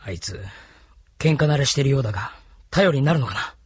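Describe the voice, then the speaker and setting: An actor playing an anxious, hot-tempered young resistance fighter in a Japanese anime audio drama, exasperated and earnest. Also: male voice, mid-range voice, lively intonation, a little fast, slight background noise, close to an everyday voice. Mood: worried.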